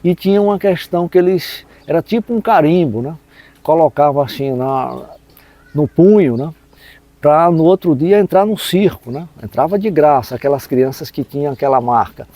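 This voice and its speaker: A man in his sixties with a northeastern Brazilian accent, reminiscing informally as an interview guest in a halting way, often adding tag words and repeating himself. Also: a little high, animated, mellow, no echo. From rural northeastern Brazil. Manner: halting, frequent 'né' tags and repetitions. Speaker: interview guest, historian and teacher.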